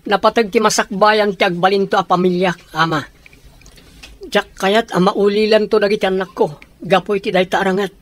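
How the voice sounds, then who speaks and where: Guy acting pleading peace-loving son in an ilocano radio drama, pretty high voice for a man. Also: steady tone, normal speed, a bit bright. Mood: sorrowful, determined. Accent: Ilocano Filipino. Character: earnest peace-seeking son who refuses to fight.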